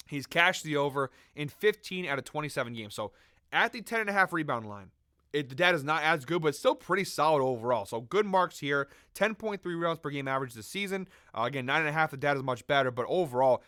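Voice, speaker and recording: A young man, sick and losing his voice, running through a fast sports betting breakdown in a brisk, number-heavy way with quick fillers and self-corrections. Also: a little high, bright, forceful tone, no echo, studio quality.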